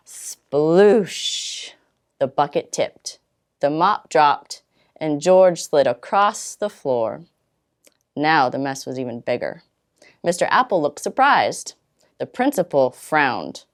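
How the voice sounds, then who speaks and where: Animated, lower-voiced woman reading a storybook. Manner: expressive, with dramatic pauses and drawn-out words. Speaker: volunteer storytime reader. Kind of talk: children's storybook read-aloud.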